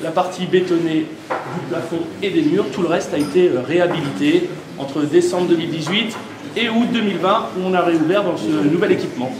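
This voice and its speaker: A man's voice: a little high, brisk. A man giving informal guided tour commentary, explaining a facility as he shows it. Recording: very noisy, echoey indoor pool.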